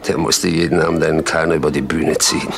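An actor playing a sardonic, musing gangster in a crime radio drama, with a gravelly voice. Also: male voice, very deep voice, level tone, quick pace. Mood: wry musing. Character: sardonic, musing male gangster giving orders. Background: music.

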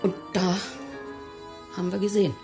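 A woman's voice: lowish voice, level tone, moderate pace, over music, natural voice. An actress playing a middle-aged, plain-spoken school caretaker in a radio crime drama, scornful and indignant as she speaks. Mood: defensive.

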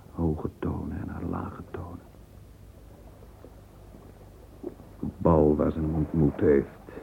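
Pensive, sensual middle-aged man in radio monologue. Very low, creaky, halting murmur; muffled phone-like recording. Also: heavily acted. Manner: halting, murmured thinking aloud. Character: musing middle-aged man, sensual, curious, alone with his thoughts. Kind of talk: radio drama interior monologue. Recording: muffled phone-like old recording, faint hiss.

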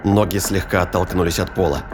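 Male narrator, low register, scripted science fiction storytelling, noisy bed of effects behind the voice. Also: flat delivery, brisk. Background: ambience and sound effects.

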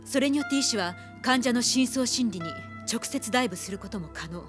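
A woman's voice: a little high, lively intonation, fairly quick, over music, close to an everyday voice. Actress for resolute female investigator, former police profiler, composed and matter-of-fact, anime radio drama. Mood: grave, explanatory. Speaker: voice actor.

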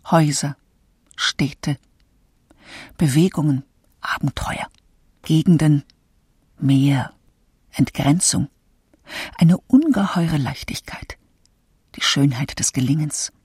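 Woman, very low voice, husky breathy recitation, slow, word by word, dry sound. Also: a little mellow, dry recording. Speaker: literary reader. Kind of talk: slow recitation of single words and phrases.

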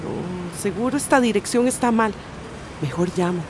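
A woman's voice: mid-range voice, normal speed, noisy background, lightly acted. An actress playing a determined, hopeful emigrant mother in a radio drama. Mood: insistent.

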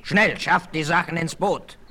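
Casting: bossy outlaw chief, sharp tense tone, commanding, sixties western audio drama. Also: male voice, fairly high pitch, unhurried. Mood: urgent, bossy. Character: villainous male tramp gang leader, scheming, bossy. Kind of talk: 1960s German western radio play acting. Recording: 1968 vinyl record, faint noise.

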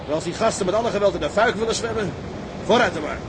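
A man's voice: sits high, fast, noisy background, close to an everyday voice. A man playing a laconic, decisive tugboat captain in a radio drama. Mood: calm, resigned. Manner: laconic, understated, gives orders plainly.

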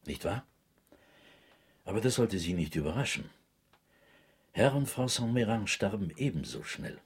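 A stern, insinuating physician in a radio drama. The voice is low and very rough, each point made deliberately and with suspicion. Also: male voice, expressive, heavily acted. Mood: grave, pointed. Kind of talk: German radio drama acting.

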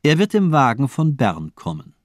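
A cold, menacing male doctor in a radio crime play. He speaks at a middle pitch in polished, mocking monologues, elegant and deliberate. The sound is very dry and close. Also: wildly swooping pitch, no echo. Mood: coldly amused. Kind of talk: German radio crime drama acting.

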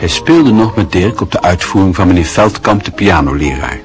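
A man narrating a radio play in a measured, expressive storytelling manner. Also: sits low, loud music behind the voice.

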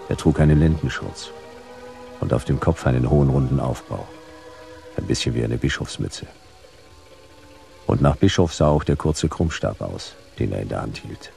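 An actor playing a laconic, sardonic private detective who narrates a German sci-fi radio play in clipped phrases with a gravelly voice. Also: male voice, rumbling low, darkish tone, full character voice. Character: hard-boiled sci-fi private detective narrating, dry, wry. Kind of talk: noir first-person radio play narration.

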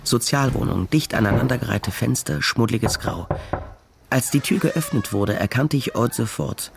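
An actor playing a world-weary private detective who narrates a crime story in a measured, sombre radio drama style. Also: male voice, mid-range voice, gravelly, noisy background, close to an everyday voice. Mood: reflective. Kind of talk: scripted first-person radio drama narration.

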